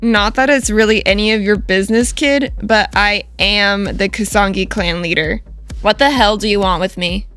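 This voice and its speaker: A woman's voice: medium pitch, normal speed, fairly bright tone, soft background music, natural voice. A woman voicing a fierce, hot-tempered teenage girl who leads a gang in a visual novel, curt, scornful and threatening. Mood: scornful, irritated.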